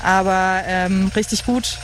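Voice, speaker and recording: Festival radio host, thirties, medium pitch, enthusiastic, casual, gropes for words. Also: steady tone, slow pace, a bit bright, a little hiss or hum. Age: 30s. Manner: enthusiastic, hesitant searching for words. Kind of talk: casual live radio chat. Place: open lounge area at a festival site. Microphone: broadcast microphone.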